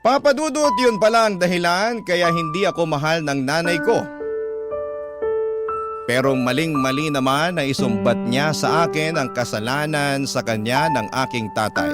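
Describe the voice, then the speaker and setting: Male radio story narrator over loud music. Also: on the high side. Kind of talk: dramatic first-person letter reading. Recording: loud piano music behind the voice.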